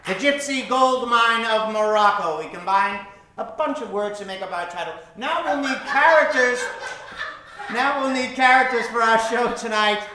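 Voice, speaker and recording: A man's voice: very high-pitched, moderate pace, slight background noise. Man hosting live comedy in an echoey theater. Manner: playful, repeats audience shouts back. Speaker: improv comedy show host. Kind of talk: live comedy crowd work. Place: theater stage before an audience. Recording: very echoey theater, audible crowd.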